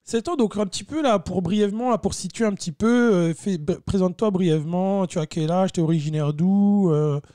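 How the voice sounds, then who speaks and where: A man's voice: sits high, normal speed, hardly any pauses, dark tone, no room sound, clean studio sound. A man hosting a casual podcast interview, chatty, often restarting his questions and saying he is a bit tired. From France. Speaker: podcast host.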